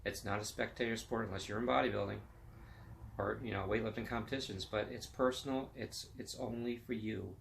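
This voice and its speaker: Upbeat gravelly man in his fifties. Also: on the low side, steady tone, brisk, a little hiss or hum. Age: 50s. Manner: enthusiastic, upbeat, motivational. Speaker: vlog host. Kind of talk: casual sports and fitness chat.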